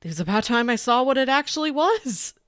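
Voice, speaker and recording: A woman's voice: a little high, very animated, brisk, fairly bright tone, no echo, studio quality. A woman co-hosting a podcast, chatting casually and telling stories in a conversational way. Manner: chatty, quotes dialogue with frequent "like". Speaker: podcast co-host.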